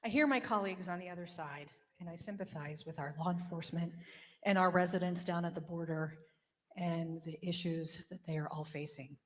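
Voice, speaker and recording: A woman born in Greece with Greek as her first language, a state legislator giving a measured, earnest floor speech. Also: on the low side. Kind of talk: floor speech explaining a vote. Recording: long reverb in a legislative chamber.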